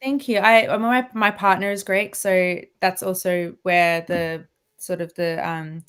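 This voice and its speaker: A woman's voice: on the low side, fairly even intonation, measured pace, fairly bright tone, clear, resonant tone. A woman guest on a radio interview, talking in a chatty way with frequent laughs and restarts. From Australia. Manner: chatty, laughs often, many restarts and fillers. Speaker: guest violinist.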